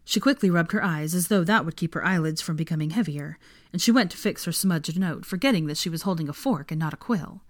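A woman's voice: lowish voice, fast, no room sound, studio quality. A woman reading scripted fiction aloud as the narrator of a story.

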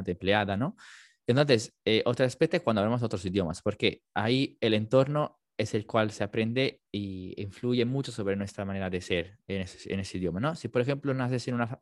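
A young man from Naples, a native Italian speaker and language teacher, talking casually and thinking aloud with many pauses and fillers. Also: lowish voice, dry recording, studio quality. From Italy, Naples. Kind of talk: casual conversation.

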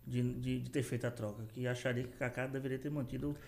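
A man co-hosting a studio podcast, cutting in with quick remarks and stammered restarts. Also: mid-range voice, flat delivery, creaky, darkish tone. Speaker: podcast co-host. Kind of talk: interview chat.

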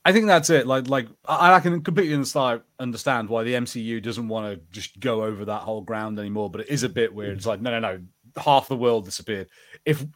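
A man co-hosting a podcast, chatting casually about pop culture and slipping into acted-out bits of imagined dialogue. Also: mid-range voice. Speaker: podcast co-host.

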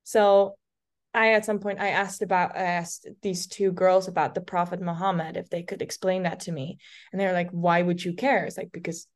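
A woman's voice: fairly low pitch, little pitch movement, moderate pace. Chatty Dutch woman in her twenties. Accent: light Dutch. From Netherlands. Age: 20s. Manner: chatty storytelling, many restarts and fillers. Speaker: guest. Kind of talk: casual podcast conversation.

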